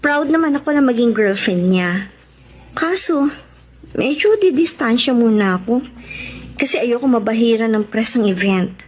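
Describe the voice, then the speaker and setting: Actress as a high-voiced, tender sweetheart. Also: female voice, animated, moderate pace, pauses often, natural voice. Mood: earnest, resigned. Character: tender, self-effacing young woman devoted to her lover. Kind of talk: Tagalog radio drama acting.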